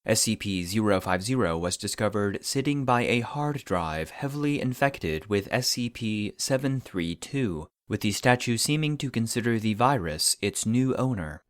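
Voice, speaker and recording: A man's voice: lowish voice, expressive, normal speed, few pauses, dry recording, clean studio sound. A man reading scripted fictional case files as a narrator, in a formal, clinical report manner.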